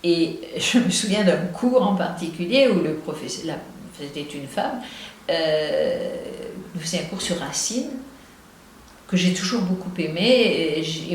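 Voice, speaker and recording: Reflective Marseille woman in an echoey room. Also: on the low side. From Marseille, southern France. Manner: thoughtful, with many hesitations and restarts. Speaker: poet and translator. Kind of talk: reflective interview talk. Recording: very echoey room with faint noise.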